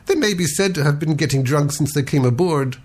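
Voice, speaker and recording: A man's voice: on the high side, animated, a little fast. A man voicing a practical ship's lieutenant who speaks in a matter-of-fact, dry way.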